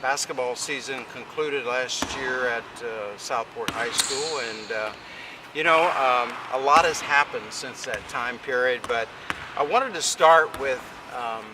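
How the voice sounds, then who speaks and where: A man's voice: medium pitch, measured pace. American sports interviewer, man, noisy gym. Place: basketball fieldhouse. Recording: clear ambient noise of a gym.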